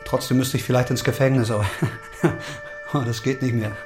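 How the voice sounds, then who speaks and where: An actor playing a quiet, uneasy middle-aged man on the run in a radio drama, mild and hesitant. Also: medium pitch, steady tone, moderate pace, music in the background, lightly acted. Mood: uneasy, evasive. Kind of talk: German audio drama acting.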